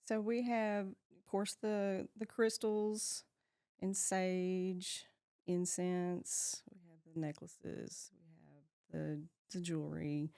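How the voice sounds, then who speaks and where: A woman's voice: fairly low pitch, fairly even intonation, takes it slowly, dark tone, clear, resonant tone, dry recording, clean studio sound. A woman, chatting as an interview guest, laughing often and trailing off mid-sentence. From Texas, USA. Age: 50s. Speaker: guest, shop owner. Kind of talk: casual interview chat.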